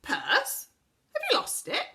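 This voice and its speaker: A woman voicing a deaf, cheerful little man covered in pans, who talks loudly and mishears everything. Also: a little high, huge pitch swings, unhurried, voice pushed up, full character voice. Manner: loud, mishearing, earnest.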